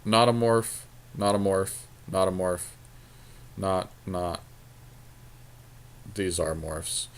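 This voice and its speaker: Slow, low-voiced man chatting casually. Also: smooth. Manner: thinks aloud in short, colloquial phrases. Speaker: podcast co-host. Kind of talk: casual chat while drafting a card game.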